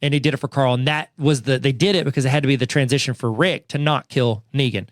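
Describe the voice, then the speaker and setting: A man's voice: medium pitch, fairly even intonation, quick pace, no echo, clean studio sound. A man co-hosting a podcast, recapping at length in casual chat with many restarts and quoted asides. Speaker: podcast co-host.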